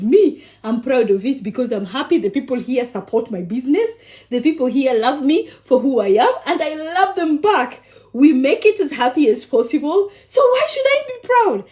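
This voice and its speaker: A woman from Kenya chatting on a cooking livestream in a high, lively voice. She is bubbly and affectionate with her viewers, laughs often and teases them playfully. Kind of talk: casual livestream chat with viewers.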